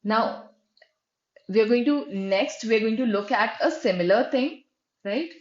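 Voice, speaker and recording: Female reviewer, chatty screen-share commentary, mid pitch, echoey and dull recording. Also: measured pace. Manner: conversational, frequent "right?" and fillers, restarts. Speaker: website reviewer. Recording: roomy, dull lo-fi sound.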